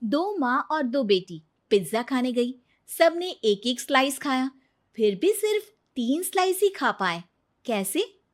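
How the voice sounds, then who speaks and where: Cartoon daughter-in-law, female actor: young, smug, challenging, self-assured, pitched well up high. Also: big pitch swings, unhurried. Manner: teasing, self-assured riddle posing. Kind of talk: animated Hindi moral story voice acting.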